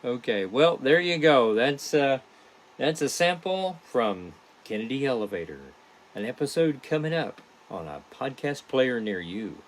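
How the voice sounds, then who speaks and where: A man hosting a casual behind-the-scenes stream as a podcast creator, chatting to viewers in a halting, rambling way. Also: mid-range voice, very animated. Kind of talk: casual livestream chat to viewers.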